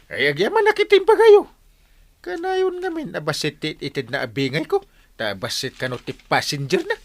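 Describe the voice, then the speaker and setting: Man acting a suspicious village captain, middle-aged, Ilocano accent, extremely animated pitch, sputtering exclamations, comedy radio drama. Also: normal speed, exaggerated voice. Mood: grumbling. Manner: exclaiming, sputtering, swooping between grumbles and yelps. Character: excitable, suspicious village captain and tricycle owner.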